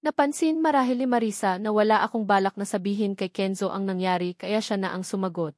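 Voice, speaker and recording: Female narrator for first-person romance fiction, scripted read, mid-range pitch, dry recording. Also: brisk, a little mellow, no room sound. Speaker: story narrator.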